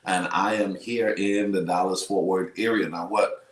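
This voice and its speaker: A man from the Bahamas with a Bahamian accent, hosting a talk show in an upbeat, excitable way and repeating his phrases. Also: low-pitched, moderate pace, echoey room. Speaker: host. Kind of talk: casual talk show chat.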